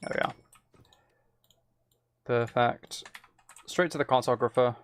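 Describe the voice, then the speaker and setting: A man casually commentating on his game and chatting to viewers, muttering along in a low-key way, close to the mic. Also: fairly even intonation, slow. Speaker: streamer playing a game while chatting with viewers.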